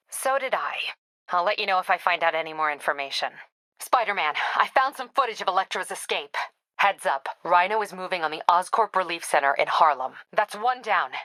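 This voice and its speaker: A woman's voice: lowish voice, animated, a little fast, bright, forceful tone, dry recording. An American woman playing a tough, driven police captain in a video game, speaking in a clipped, authoritative, dry manner. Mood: businesslike, focused.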